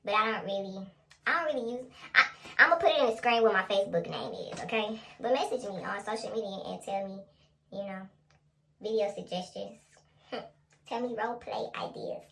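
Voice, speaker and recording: A woman's voice: medium pitch, fairly quick, vocal fry, a bit bright, slight background noise, low-quality recording. A young woman vlogger talking casually in a playful, slangy way, as in an ASMR role play.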